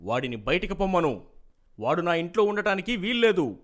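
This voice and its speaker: An angry old father, acted by a man, scolds his household indignantly in a cartoon moral tale. His voice sits a little high for a man, and he is stern throughout. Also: very animated, fairly quick, a bit bright. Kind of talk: dramatised moral story for animation.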